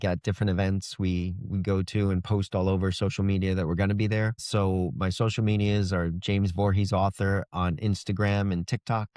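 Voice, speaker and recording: A man's voice: sits low, darkish tone, no echo, studio quality. A man speaking as a guest author in a casual interview, in a loose, conversational way as if thinking aloud.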